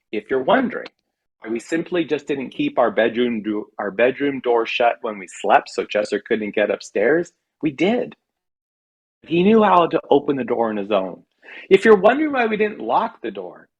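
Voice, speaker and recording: A man's voice: medium pitch, husky. An American man reading his humorous personal essay aloud in a wry, mock-dramatic manner. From California, United States. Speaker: writer reading own comic essay. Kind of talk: humorous storytelling read aloud.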